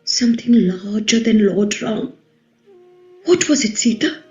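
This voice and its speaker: A woman's voice: mid-range voice, expressive, a little slow, mellow, close to an everyday voice. A woman playing a fierce, proud ancient Indian queen in a formal, theatrical play reading. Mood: curious. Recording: echoey, slightly dull, music underneath.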